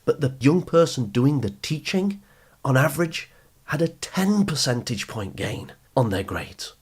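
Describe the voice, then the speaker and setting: A man hosting a motivational advice talk for teenagers, speaking in an emphatic, deliberate teaching manner that stresses key phrases. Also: a little high, big pitch swings, breathy edge. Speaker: podcast host and youth coach.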